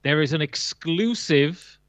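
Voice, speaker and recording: A man's voice: fairly high pitch, lively intonation, slow pace, a bit bright, dry recording. A man chatting casually and emphatically about board games as a guest, sometimes jokey, on slightly muffled audio. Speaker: guest on a board game list show. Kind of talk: casual opinionated chat.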